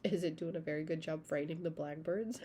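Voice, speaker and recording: A woman with a slightly low voice reads a children's picture book aloud. She is an expressive storyteller who plays up sound words and speaks to her young listeners. Her delivery is that of a read-aloud story time. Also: fast talker, slight background noise.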